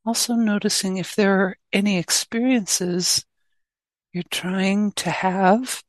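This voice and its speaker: A woman leading a guided meditation as a teacher, speaking gently in short phrases with long pauses between them. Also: medium pitch, fairly even intonation, no echo.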